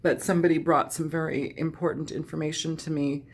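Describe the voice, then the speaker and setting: A woman reading tarot in a chatty, meandering way, hoarse and scratchy from a cold, often clearing her throat. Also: low-pitched, level tone, fairly quick. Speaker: tarot reader. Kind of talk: casual tarot reading monologue.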